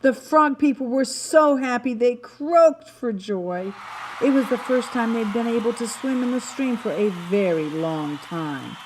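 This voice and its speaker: A woman narrating a children's story in an expressive, dramatic way, stretching words for emphasis. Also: a little high, unhurried, slightly rough. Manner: animated, dramatic delivery with emphatic word stretching. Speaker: storybook reader.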